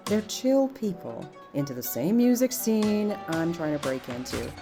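A woman's voice: fairly low pitch, big pitch swings, a little slow, creaky, full character voice. Sarcastic young party girl, blunt and teasing, voice swings around a lot, faint music under it. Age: young adult. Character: cynical, blunt young woman, party-loving smoker. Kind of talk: naturalistic audio drama acting.

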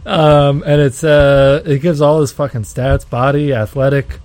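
A man's voice: fairly high pitch, fairly even intonation, unhurried, darkish tone, slight background noise. A young adult man co-hosting a casual podcast, telling a story in a rambling way and laughing as he goes. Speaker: podcast co-host. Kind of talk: casual banter.

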